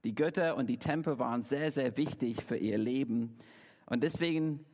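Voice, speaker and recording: A man's voice: mid-range voice, moderate pace, darkish tone. A man from England, a native English speaker, preaching a sermon in German with frequent fillers and restarts. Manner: preaching in German as a learner, with self-corrections and fillers. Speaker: pastor. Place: church hall. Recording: reverberant church hall.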